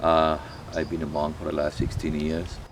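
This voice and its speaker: A middle-aged man with an Argentine Spanish accent, a monk speaking in a halting, thoughtful way and often pausing to search for words. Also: rumbling low, completely flat delivery, creaky, a little hiss or hum. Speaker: monk, abbot of a monastery. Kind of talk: reflective spoken talk to camera.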